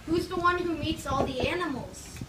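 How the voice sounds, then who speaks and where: A woman's voice: high-pitched, moderate pace. A woman reading a picture book aloud to young children as their teacher, prompting and encouraging them and acting out sound effects. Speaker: children's story-time teacher. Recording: roomy, distant mic, loud background noise.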